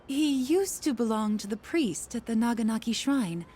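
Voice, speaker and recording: A woman's voice: fairly high pitch, animated, normal speed, warm tone, breathy edge. An actress playing a gossipy middle-aged neighborhood mother who chats and tells local stories. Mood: chatty. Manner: chatty, storytelling. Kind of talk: video game voice acting.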